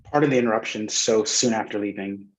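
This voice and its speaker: A man playing a bashful, courteous young gentleman suitor in a period drama reading, hesitant and polite. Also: lowish voice, fairly quick, lightly acted. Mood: polite, nervous. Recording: dull lo-fi call audio.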